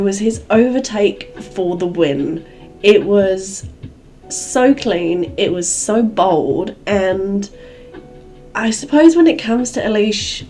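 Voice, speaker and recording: A woman hosting a solo podcast, chatty and rambling, her voice gravelly and croaky from a cold. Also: medium pitch, dark tone. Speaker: podcast host.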